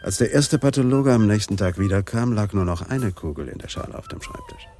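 A sceptical, dry-witted detective in a radio crime drama. His low voice moves in a lively way while staying matter-of-fact, with some music behind it. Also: fairly quick. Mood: reporting. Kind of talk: radio crime play acting.

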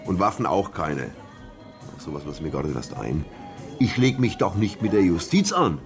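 An actor playing a sly, evasive nightclub manager in a radio drama. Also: male voice, deep voice, very animated, moderate pace, music in the background. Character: evasive, smirking male nightclub manager in Czech border region. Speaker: club manager. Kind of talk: naturalistic radio drama acting.

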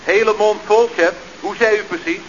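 A man's voice: high voice, fairly even intonation, moderate pace, natural voice. An actor playing a chatty, slangy youth-club leader who reports deferentially and glibly to his boss in a radio comedy. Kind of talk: Dutch radio comedy acting. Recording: dull, noisy old radio recording.

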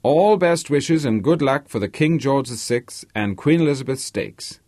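A man's voice: mid-range voice, lively intonation, moderate pace, a little mellow, no echo, clean studio sound, voice pushed up, lightly acted. A man voicing a boastful, blustering, talkative rich American racehorse owner in an audiobook. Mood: loud, jovial. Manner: loquacious, blustering. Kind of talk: audiobook character voice.

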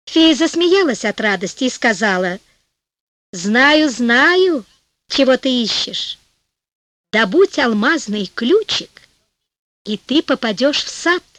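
Female audiobook narrator, high lively voice, slow storybook reading, dry studio sound. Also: no room sound. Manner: lively, voices the fairies and birds with raised pitch.

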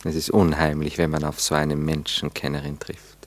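An actor playing an idle, flirtatious gentleman with a Viennese accent in a radio drama, gallant and languidly ironic. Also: very deep voice, completely flat delivery, normal speed. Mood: gallant, teasing. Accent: Austrian, Viennese. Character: idle, flirtatious Viennese gentleman bachelor, charming and self-pitying. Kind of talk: German radio drama dialogue.

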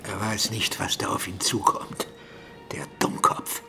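A male actor playing a frail, obsessive, bitter old professor in a radio drama, swinging between agitated delivery and breathy murmurs. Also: fairly low pitch, flat delivery, a little slow, over music. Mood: ominous. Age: older.

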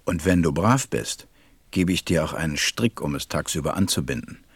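A deep-voiced, serious stranded pilot. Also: male voice, animated, normal speed, husky, no echo, exaggerated voice. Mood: thoughtful, measured. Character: stranded adult male pilot, serious narrator recalling events. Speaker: narrator. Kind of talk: storytelling narration in an audio drama.

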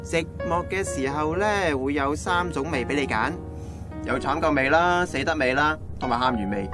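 A man's voice: on the high side, normal speed, close to an everyday voice. An actor playing a goofy, lovelorn 27-year-old male friend with a Hong Kong Cantonese accent, joking and comforting in a radio drama. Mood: joking, wistful. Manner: joking, animated. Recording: music behind the dialogue.

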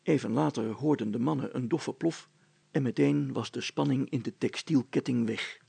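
A man's voice: mid-range voice, normal speed, creaky, mellow, husky, dry recording. A man narrating a children's story from a script as a storyteller.

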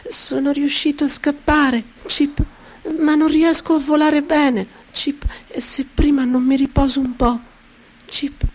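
A tiny, hurt little sparrow in a high, small and gentle voice. He is meek and speaks in short bits, with a soft chirp after each one. He sounds fragile and polite. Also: flat delivery, a little slow. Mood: timid. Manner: soft chirps between phrases. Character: tiny injured young sparrow, timid and sweet.

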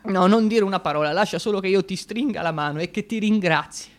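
A man's voice: high-pitched, fast. An actor playing an impulsive, effusive young man in love, gushing and emotional in a theatrical radio drama style. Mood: grateful, tender.

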